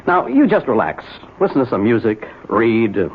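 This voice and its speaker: An actor playing a brisk, lecturing doctor in 1970s radio drama, speaking with authority. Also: male voice, medium pitch, big pitch swings, husky. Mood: brisk, reassuring. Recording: muffled, noisy 1970s radio recording.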